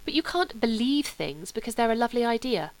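A woman's voice: fairly high pitch, sing-song, fairly quick. A woman reading an audiobook, voicing a skeptical young Englishman who argues in a dry, reasoning way.

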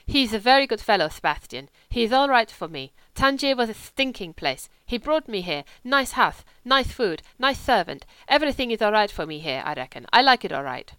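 A woman's voice: on the high side, big pitch swings, fairly quick, few pauses, smooth, no echo. A woman doing a wolfish, lisping young German. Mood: wheedling. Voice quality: lisp from a missing tooth. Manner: broken English, loud and offhand. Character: lolling young German man, wolfish and louche.

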